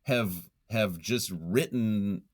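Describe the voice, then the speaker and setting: A man with a deep voice speaks as a guest in a reflective interview. He is thoughtful and self-effacing, often feeling his way through a sentence with some hesitation. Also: very slow. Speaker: interview guest, nonfiction writer.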